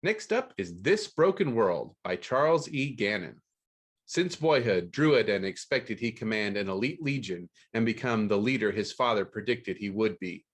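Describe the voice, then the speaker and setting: A man's voice: lowish voice, expressive, normal speed, slightly rough. A man hosting a podcast about books. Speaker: podcast host. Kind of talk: scripted book news read aloud, then casual interview.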